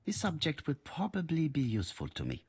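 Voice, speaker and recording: A man playing a polite, methodical detective in a video game, with formal, precise phrasing and a husky voice. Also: on the high side, wildly swooping pitch, brisk, heavily acted. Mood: musing. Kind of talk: scripted game voice acting.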